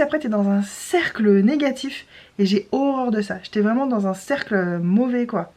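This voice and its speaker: A young woman vlogging in casual chat to camera, chatty and rambling, with many fillers and restarts. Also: mid-range voice. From France.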